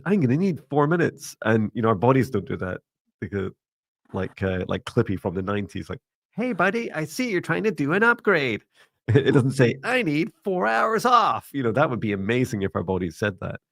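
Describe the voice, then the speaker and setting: A man with a deep, steady voice that stays in one even tone, giving a slow, meditative psychic reading on a livestream with many fillers. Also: vocal fry, dry recording, clean studio sound. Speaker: psychic mentor livestream host.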